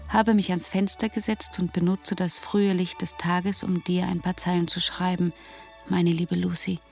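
A devoted young wife in a radio play, narrating a letter. She reads with tender care in a slightly low, creaky voice. Faint music sits behind her. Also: darkish tone. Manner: tender, measured reading. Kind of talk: scripted letter narration in German radio drama.

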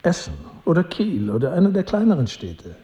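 A dark-toned hawkish knight, echoing. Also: male voice, high-pitched, very animated, moderate pace. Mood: cool, insistent. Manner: matter-of-fact, insistent. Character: hawkish, pragmatic, cold-blooded knight. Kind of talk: radio play acting. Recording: muffled, reverberant hall.

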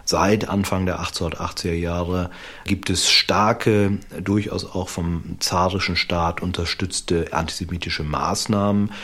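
A man explaining history as an interviewed expert, deliberate and emphatic, with academic phrasing. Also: low-pitched, fairly even intonation, fairly bright tone, husky.

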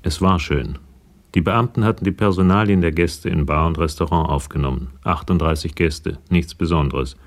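Deep, creaky-voiced crime story narrator. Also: male voice, moderate pace. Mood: sober. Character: sober male narrator of a detective story. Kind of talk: scripted narration of a radio crime drama.

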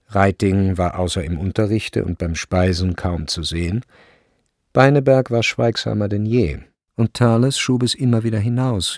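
A man with a husky, gravelly voice narrating a literary radio drama from a script. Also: lowish voice, a little mellow, no room sound, studio quality. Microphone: close, dry studio recording.

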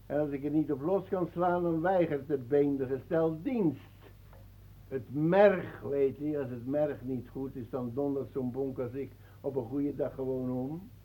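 An actor playing a boastful old former boxer in a Dutch radio play, chatty and clipped. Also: male voice, mid-range voice, unhurried, mellow, husky, rough recording. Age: older. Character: boastful old former boxer, proud of his big bones.

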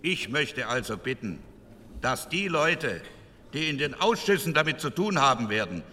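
An actor playing an indignant 1940s German parliamentarian, giving a formal, emphatic, rhetorical speech. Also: male voice, mid-range voice, very animated, normal speed, bright, forceful tone. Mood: emphatic warning. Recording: echoing large hall.

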